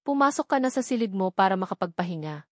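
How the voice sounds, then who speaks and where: A woman's voice: mid-range voice, expressive, quick pace, no echo, studio quality, close to an everyday voice. A woman voicing a frank, concerned younger sister with a Filipino accent, in an audiobook. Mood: annoyed, caring.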